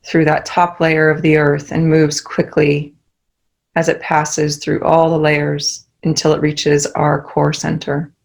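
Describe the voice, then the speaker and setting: A young woman guides a meditation in a calm, soothing way. Her voice sits low and stays level, with little rise or fall. She takes her time, and every instruction comes out slow and soft. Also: gravelly.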